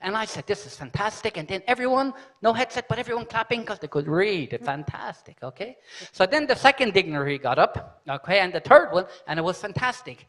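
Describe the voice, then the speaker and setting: A man speaks from a conference stage in a high, bright voice. He tells his story with animation, often pausing to check in with the hall. His tone is forceful and crisp. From Ireland. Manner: animated storyteller, repeats "okay?" and "fantastic". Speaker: conference host. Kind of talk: live conference speech with anecdotes.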